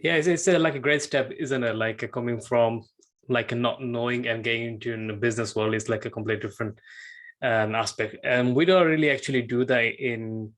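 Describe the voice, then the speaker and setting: Male podcast host, halting speech with many fillers. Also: mid-range voice, steady tone, a bit bright. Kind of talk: interviewing a guest.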